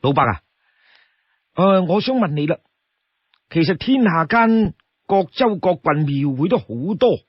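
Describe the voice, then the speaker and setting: A man's voice: sits high, animated, a little slow, long pauses, husky, no echo, lo-fi sound, full character voice. A man voicing a polite young travelling peddler with a Cantonese accent, earnest and persuasive, in an audio story. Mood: courteous, friendly.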